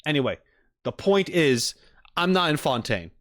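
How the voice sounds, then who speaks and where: A man chatting casually on a livestream as he reacts to game trailers, rambling in an animated, self-deprecating way. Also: a little high, normal speed, dry recording, clean studio sound.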